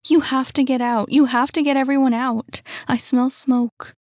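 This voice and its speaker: A woman voicing a desperate trapped young woman who urgently pleads and shouts through a door. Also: sits high, steady tone, normal speed, dry recording, studio quality, voice pushed up, close to an everyday voice. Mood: frantic.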